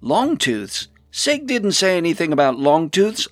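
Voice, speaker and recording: A man's voice: mid-range voice, expressive, moderate pace, no echo, faint music behind, voice pushed up, lightly acted. A man voicing a polite, earnest male hobbit in a fantasy storybook reading. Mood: puzzled, worried. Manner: courteous, earnest.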